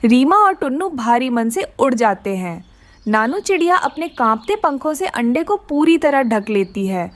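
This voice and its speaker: A woman narrates a scripted moral tale for children. Her voice is high in pitch, in the manner of a cartoon storyteller. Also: sing-song, normal speed, no room sound.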